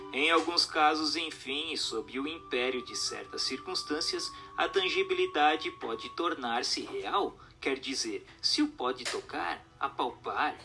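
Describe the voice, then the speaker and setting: A Brazilian man reading a book aloud as scripted narration, in a formal, didactic manner. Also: fairly high pitch. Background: background music.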